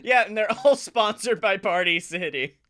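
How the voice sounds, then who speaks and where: A man hosting a comedy podcast in a loud, sweary style of banter with mock announcer hype. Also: high-pitched, animated, moderate pace. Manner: boisterous, sweary.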